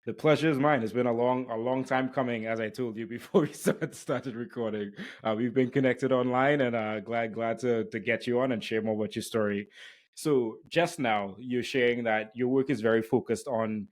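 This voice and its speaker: A man's voice: medium pitch, steady tone, normal speed. A man hosting a careers podcast, introducing guests and interviewing them. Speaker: podcast host.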